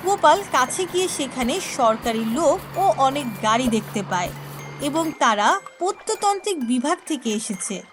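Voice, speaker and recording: A woman narrating a cartoon story in a clear, storytelling manner. Her voice is high. Music plays clearly underneath. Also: very animated, moderate pace.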